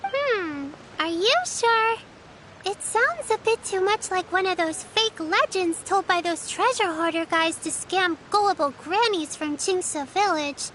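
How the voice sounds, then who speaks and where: A woman's voice: very high voice, unhurried, fairly bright tone, a little hiss or hum, low-quality recording. A woman voicing a kind, sensible young girl in a video game, friendly and helpful. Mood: skeptical.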